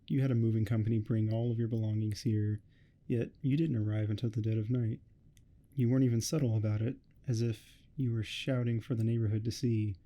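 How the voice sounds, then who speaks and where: A man's voice: lowish voice, warm tone, lightly acted. A man playing an obsessive, menacing neighbor who longs to be a vampire, in a theatrical ASMR roleplay monologue. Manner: theatrical, grandiose monologuing, smugly threatening.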